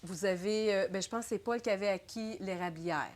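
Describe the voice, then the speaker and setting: A woman's voice: medium pitch, fairly quick. A woman with a Québécois accent interviewing a guest, friendly and prompting, laughing easily. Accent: Québécois French. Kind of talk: casual interview.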